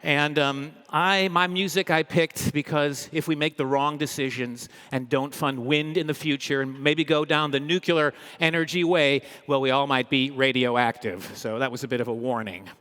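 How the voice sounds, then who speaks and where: A man hosting a live event as a comedian, speaking in an upbeat, energetic, joking way with playful mock boasts. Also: a little high, moderate pace, few pauses, clear, resonant tone. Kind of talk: humorous live stage presentation. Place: large indoor event hall, live audience. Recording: echoey big hall, long reverb.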